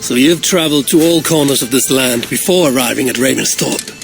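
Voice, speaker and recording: An actor playing a shrewd Viking clan leader, dry and guarded. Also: male voice, mid-range voice, brisk, gravelly, over music, close to an everyday voice. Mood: wry. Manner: dry, wary.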